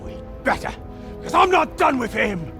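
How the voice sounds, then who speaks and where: Wildly animated, gravelly cheeky orc. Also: male voice, very high voice, normal speed, music in the background, full character voice. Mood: determined. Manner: animated, wheedling, loudly indignant. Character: small cheeky, blustering male orc sidekick. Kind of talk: video game cinematic acting.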